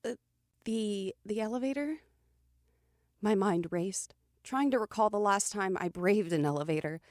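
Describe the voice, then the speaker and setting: A woman playing an anxious, grieving daughter who narrates her own thriller in a somber, measured, introspective way. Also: mid-range voice, darkish tone, no echo, clean studio sound, lightly acted. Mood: nervous. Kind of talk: dramatic first-person audio drama narration.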